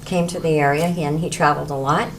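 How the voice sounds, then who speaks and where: A woman's voice: low-pitched, fairly even intonation, quick pace, poor audio. A woman hosting a local television interview with artists, chatty and encouraging as she asks questions. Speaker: host.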